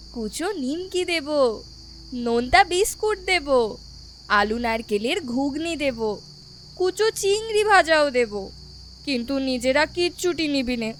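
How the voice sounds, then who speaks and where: A high-pitched older auntie acted by a woman from a dramatized audio story, kindly and a little bossy. She draws her words out in a slow, coaxing way. Crickets and a noisy ambience sit behind her. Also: fairly bright tone, heavily acted.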